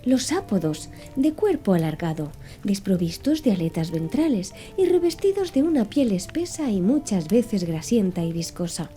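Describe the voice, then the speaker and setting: A woman's voice: medium pitch, very animated, moderate pace, few pauses, dark tone, faint music behind, close to an everyday voice. A woman voicing an earnest, pedantic man who lectures with didactic precision in an audiobook. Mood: didactic, patient. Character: Conseil, earnest, pedantic man reciting fish classifications. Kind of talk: audiobook character dialogue.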